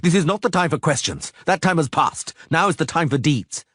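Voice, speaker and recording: A man voicing a proud, tense sorcerer who speaks in sharp, agitated declarations. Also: fairly high pitch, expressive, fairly quick, no echo, studio quality, pitched above the performer's own voice. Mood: commanding. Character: male sorcerer, tense and proud, itching to duel. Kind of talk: audiobook character voice.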